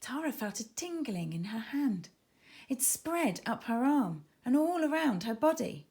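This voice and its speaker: A woman's voice: on the high side, big pitch swings, measured pace, darkish tone. A woman narrating a children's story expressively, with dramatic emphasis as she reads. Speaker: author reading own children's book.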